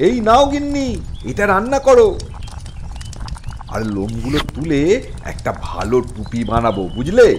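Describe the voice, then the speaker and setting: A man's voice: a little high, huge pitch swings, moderate pace, pauses often, slight background noise. A man playing a bold, blustering village farmer and husband in a Bengali fairy-tale cartoon. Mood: pleased.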